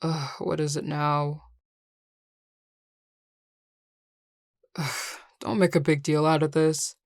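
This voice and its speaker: A woman's voice: low voice, little pitch movement, a little slow, no echo, studio quality. A woman playing a grumpy young male coworker in an audio roleplay, curt and sarcastic with exasperated delivery. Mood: guarded, annoyed. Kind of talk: scripted audio roleplay acting.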